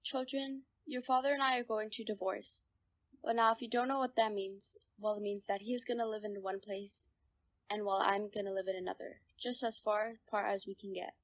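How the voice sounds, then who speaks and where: A woman playing a bitter, scolding, bickering mother in a radio drama, blunt and sharp-tongued. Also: mid-range voice, fairly even intonation, brisk, no echo, lightly acted. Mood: cold, blunt.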